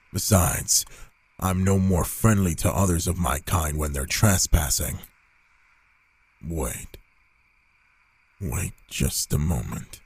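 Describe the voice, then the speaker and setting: A man plays a reclusive male werewolf for an ASMR roleplay. His voice is extremely deep and he takes his time, pausing often between phrases. He comes across as gruff yet reluctantly caring and protective. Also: darkish tone, exaggerated voice. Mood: wary, guarded. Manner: slow, halting, murmured delivery with pauses.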